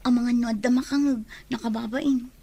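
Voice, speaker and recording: A woman acting as a young woman in an audio drama, with a fairly high voice. She is lovelorn and pines for someone who is taken. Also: fairly even intonation, moderate pace, vocal fry, warm tone, husky. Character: young lovelorn woman pining for her friend's boyfriend. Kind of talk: Ilocano radio-style drama acting.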